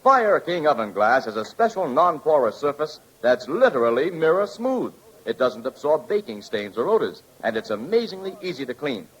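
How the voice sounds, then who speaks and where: A man's voice: on the high side, very animated, moderate pace, hardly any pauses, breathy edge. A man announcing in scripted 1940s radio advertising style, with an emphatic sales delivery. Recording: dull old radio recording.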